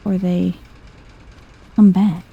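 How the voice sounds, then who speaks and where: A woman giving soft-spoken, chill ASMR commentary over a video game playthrough, thinking out loud in a low-key way. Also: little pitch movement, very slow, vocal fry, slightly rough, narrow, tinny audio.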